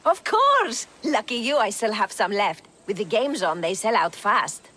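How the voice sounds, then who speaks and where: Wildly animated eager merchant. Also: female voice, unhurried. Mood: eager selling. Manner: sales-pitch flourish. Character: eager, boastful female market merchant. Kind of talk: video game character acting.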